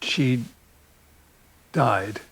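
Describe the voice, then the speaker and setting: Slow, creaky, tenderly smitten middle-aged man. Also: mid-range voice, heavily acted. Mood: pained, subdued. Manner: halting, understated, gently wry. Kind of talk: intimate audio drama acting.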